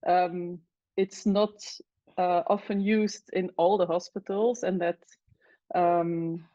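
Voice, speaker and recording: A woman from Belgium, an anesthesiologist speaking in a casual interview, hesitant with many fillers and pauses. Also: lowish voice, steady tone, mellow, dry recording, lo-fi sound. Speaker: anesthesiologist guest.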